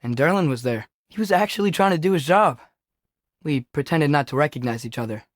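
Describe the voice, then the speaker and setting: A man's voice: on the high side, expressive, fairly quick, dry recording, clean studio sound, natural voice. A young man playing a curious, earnest teenage boy in a Norse myth video game, talking in a natural, slightly hesitant way. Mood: casual, thoughtful.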